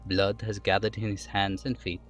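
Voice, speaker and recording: A man playing a measured, analytical police detective in a game, composed and methodical in how he talks. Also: lowish voice, level tone, a bit bright, soft background music, natural voice.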